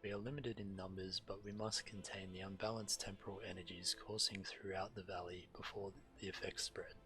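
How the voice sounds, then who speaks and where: A man's voice: low-pitched, little pitch movement, a little fast, sharp tone. A man streaming a video game, reading quest text aloud as narration. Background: game music.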